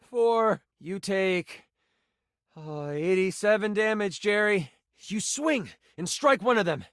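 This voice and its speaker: A man voicing an earnest, excitable game master in a video game, narrating fantasy adventure dramatically with hesitant, casual asides. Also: sits high, slow, no room sound, clean studio sound, exaggerated voice. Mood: excited, dramatic. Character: earnest, excitable, slightly flustered male game master character.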